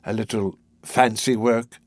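Guy doing a sly old crook from a storybook, slow and wheedling, drags his words out. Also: fairly low pitch, fairly even intonation, dry recording, studio quality, close to an everyday voice. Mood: sly, coaxing. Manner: slow, drawn-out, ingratiating. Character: sly, wheedling older male criminal fence.

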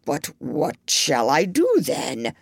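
A woman doing a sulky, gruff pirate chief. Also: extremely low pitch, lively intonation, slow, dry recording, clean studio sound, heavily acted. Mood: pleading. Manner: grudging, muttered and drawn out.

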